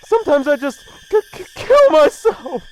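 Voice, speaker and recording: High, cackling manic clown villain. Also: male voice, very animated, normal speed, poor audio. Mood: manic, menacing. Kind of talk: radio drama acting.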